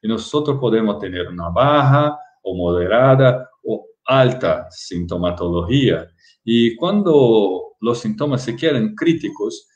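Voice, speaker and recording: Slow, halting Brazilian-accented man lecturing. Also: lowish voice, a little mellow. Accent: Brazilian Portuguese. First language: Portuguese. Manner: halting, careful, frequent restarts. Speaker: guest lecturer. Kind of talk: online lecture with slides. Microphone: webcam on a video call. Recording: roomy video call audio.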